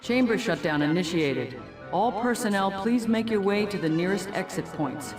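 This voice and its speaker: A high-pitched automated announcement system. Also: male voice, expressive, moderate pace. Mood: impersonal, urgent. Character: impersonal male automated facility announcement system. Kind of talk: formal safety announcement in a video game. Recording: music behind the announcement.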